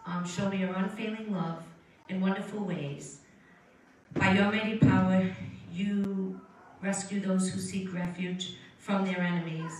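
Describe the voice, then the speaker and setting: A woman's voice: mid-range voice, steady tone, measured pace. A woman in her fifties gives an earnest, somewhat hesitant testimony at a church service. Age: 50s. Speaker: program resident giving testimony. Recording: distant mic, heavy room echo, background noise.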